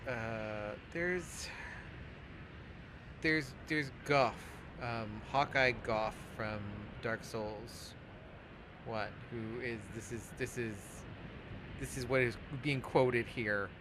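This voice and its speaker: A man hosts a casual let's play in a mid-pitched voice. He explains in a halting way, often doubling back on his words. Clear noise and game ambience sit behind him. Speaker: let's play podcast host.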